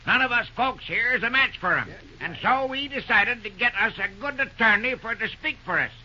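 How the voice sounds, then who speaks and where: A piercing, high-voiced country fellow holds forth with stubborn, plain-spoken rural American bluntness. It sounds like vintage radio drama, with a dull, narrow recording. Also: male voice, animated, normal speed, pushed and bright, heavily acted. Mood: indignant, defiant. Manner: folksy backcountry drawl, blunt. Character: feisty folksy rural male stockholder, stubborn and outspoken. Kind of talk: old-time radio drama acting.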